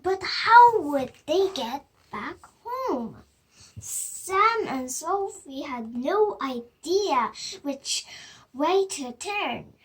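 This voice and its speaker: A girl of about seven reading a children's storybook aloud, halting and deliberate, with small stumbles. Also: high-pitched, very animated.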